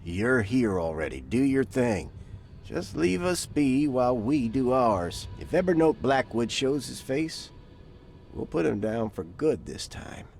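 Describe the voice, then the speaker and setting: A man's voice: mid-range voice, very animated, unhurried, creaky, a little mellow, soft background music. An actor playing a cocky, slippery male henchman in a video game, with a folksy, slangy delivery. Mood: breezy, menacing. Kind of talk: colloquial video game character acting.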